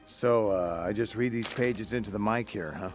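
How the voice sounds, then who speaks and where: Game role: flirty playboy hero played by a man, deep voice, full of confidence. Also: moderate pace, music in the background, close to an everyday voice. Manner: flirty, self-assured. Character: smooth, flirtatious, cocky playboy male superhero. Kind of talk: comedic game cutscene acting.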